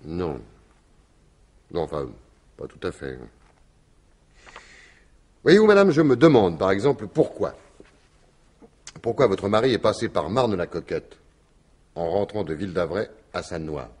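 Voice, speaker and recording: Radio mystery commissioner: French, deep and husky, dogged yet courteous, halting questioner with lively intonation. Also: male voice, full character voice. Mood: hesitant, probing. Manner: hesitant, polite, probing questioning. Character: dogged, courteous male police commissioner, hesitant but probing. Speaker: police commissioner. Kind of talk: 1950s-style French radio mystery acting.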